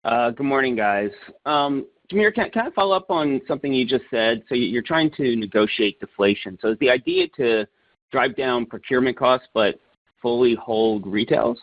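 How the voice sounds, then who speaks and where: A man's voice: medium pitch, lively intonation, normal speed, dry recording. A male analyst asks questions over a phone-like, band-limited line. He is informal and slightly hesitant, repeating words. The sound is dry, with no room around it. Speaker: financial analyst. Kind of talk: asking follow-up questions on a conference call. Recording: thin, tinny phone line.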